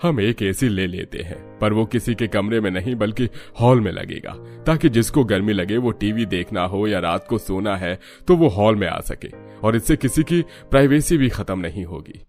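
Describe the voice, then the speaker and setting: A man playing an older father-in-law in a Hindi cartoon, measured and explanatory as head of the household. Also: on the low side, a little mellow, faint music behind, lightly acted. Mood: firm reasoning. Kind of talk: Hindi cartoon story acting.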